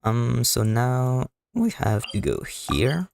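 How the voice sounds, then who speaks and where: A man casually commentating a live game stream, explaining things in a hesitant, halting, rambling way. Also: medium pitch, huge pitch swings, gravelly, very noisy. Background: music.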